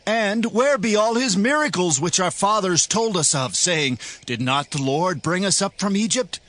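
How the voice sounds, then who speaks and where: A high male voice with lively swings , an actor's, as a humble, doubting Israelite. He pleads earnestly in archaic scripture drama. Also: normal speed. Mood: troubled, questioning.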